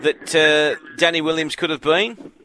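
Australian male radio host, middle-aged, crisp bright tone, chatty interviewer. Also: fairly high pitch, steady tone, a little slow, slight background noise, poor audio. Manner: friendly, chatty, some fillers. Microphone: studio microphone.

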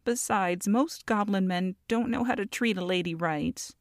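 A woman's voice: medium pitch, normal speed, dry recording, studio quality, lightly acted. A woman voicing a feisty, scheming goblin mother in an audio roleplay, sassy and haughty as she talks to a captive. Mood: boastful. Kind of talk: ASMR audio roleplay monologue.

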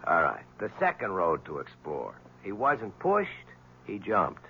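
An actor playing a persistent, questioning newspaper reporter in a 1970s radio drama, brisk and sometimes stammering as he presses. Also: sits low, huge pitch swings, full character voice. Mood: firm, skeptical. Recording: muffled, slightly noisy old radio broadcast.

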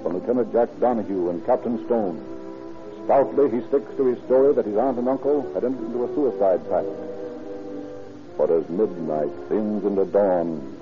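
An actor narrating a radio crime drama in a florid, ominous, theatrical style. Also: male voice, lowish voice, natural voice. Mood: grave. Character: grim poetic male narrator of a crime tale. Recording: muffled old radio recording, music behind.

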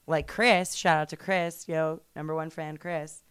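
A woman's voice: low voice, steady tone, measured pace, a bit bright, smooth, no echo. A woman co-hosting a podcast in casual chat, with a sultry smoky voice, rambling and giggly with lots of fillers. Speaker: podcast co-host.

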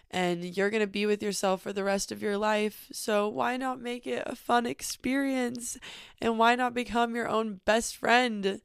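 A woman's voice: mid-range voice, clear, resonant tone, no echo, studio quality. A young woman from Northern California hosting a casual podcast from a small cabin, talking openly and reflectively with lots of "like" fillers, a little sniffly from allergies. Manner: open, reflective, frequent "like" fillers. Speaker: podcast host. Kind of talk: casual personal monologue.

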